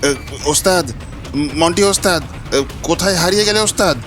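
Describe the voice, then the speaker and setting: A man playing a fawning, excitable henchman of a village bully in a cartoon. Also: high voice, moderate pace. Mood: alarmed. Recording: music playing behind the voice.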